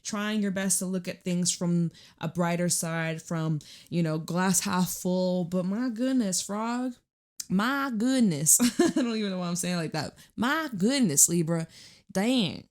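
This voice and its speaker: A woman with a raspy, hoarse voice pitched a little low talks her viewers through a tarot spread. She is chatty and reassuring and swears in passing. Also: unhurried, darkish tone. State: hoarse from screaming. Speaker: tarot reader. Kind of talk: casual tarot reading to viewers.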